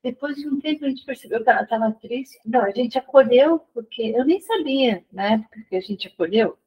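A woman's voice: on the high side, a little fast, husky. A woman who is a university professor, recounting a story to a group with many restarts. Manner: recounting with restarts and false starts. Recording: dull lo-fi recording.

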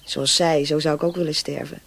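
An actress playing a dreamy, romantic young woman in love in a radio drama, wistful and often hushed and breathy. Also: low-pitched, fairly even intonation, fairly quick, exaggerated voice. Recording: clear outdoor nature noise.

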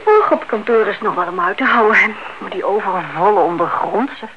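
An actress playing a brisk, caring young working woman in a radio play, chatty, polite and gently bossy. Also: medium pitch, expressive, close to an everyday voice. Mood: gently scolding. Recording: dull, noisy old radio drama recording.

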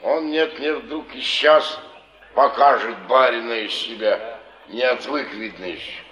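An actor playing a gruff, worldly flophouse lodger in a stage drama, drawling and sardonic. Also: male voice, medium pitch, a little slow, fairly bright tone, close to an everyday voice. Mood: gruff, matter-of-fact. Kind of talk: theatrical stage acting. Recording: distant, dull, echoey stage recording, music behind.

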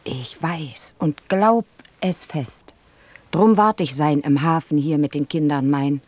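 A woman's voice: low-pitched, takes it slowly, darkish tone, dry recording. An actress playing a humble, steadfast beggar woman, plaintive and earnest, in a theatrical verse radio play. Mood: steadfast, sorrowful.

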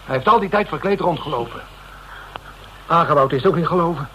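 A man's voice: on the high side, fairly bright tone, highs cut off, natural voice. Man acting a guilt-ridden, stammering man, noisy recording. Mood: agitated, defensive. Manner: halting, stammering, repeats words. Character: frightened, guilt-ridden man confessing to his detective colleagues. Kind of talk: Dutch radio drama acting. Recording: noisy old radio drama recording.

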